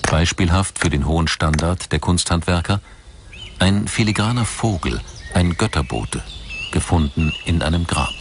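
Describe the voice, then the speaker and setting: A man's voice: low-pitched, lively intonation, normal speed, slight background noise. A man reading scripted documentary narration as the narrator. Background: music behind the voice.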